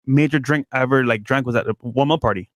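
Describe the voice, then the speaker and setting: Thirty-year-old man, animated podcast talk. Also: mid-range voice, fast. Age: 30s. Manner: animated, acts out quoted voices. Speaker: podcast co-host. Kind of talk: casual podcast chat.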